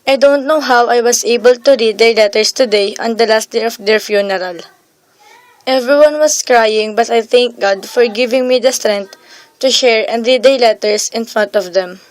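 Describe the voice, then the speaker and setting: A woman's voice: fairly high pitch, normal speed, lightly acted, poor audio. A woman playing a bereaved but resolute mother, narrating in a scripted radio drama. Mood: sorrowful, resolute. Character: bereaved mother mourning her son, resolute. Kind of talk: scripted first-person radio drama monologue.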